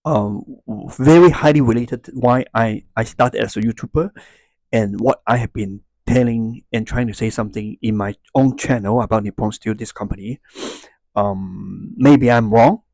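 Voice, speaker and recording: A man in his fifties from Hong Kong, a native Cantonese speaker with a Hong Kong Cantonese accent, talks casually to viewers, haltingly searching for words. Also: medium pitch, darkish tone, dry recording. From Hong Kong and Macau. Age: 50s. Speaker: YouTuber. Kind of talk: casual talk to viewers, unscripted.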